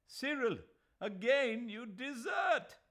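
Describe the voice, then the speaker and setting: A man's voice: high-pitched, wildly swooping pitch, a little slow, a little mellow, breathy edge, voice pushed up, exaggerated voice. A male reader voicing an anguished young man who cries out in distress. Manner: crying out.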